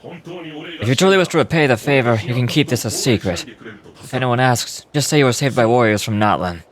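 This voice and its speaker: Calm formal warrior guy in a game, man voicing him, mid pitched, steady and polite. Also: a little fast, close to an everyday voice.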